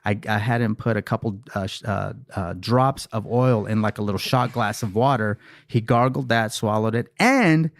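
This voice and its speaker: A young man hosting a casual podcast chat in a studio, animated and quick to repeat words as he starts his sentences. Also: on the low side, no room sound. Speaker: podcast host.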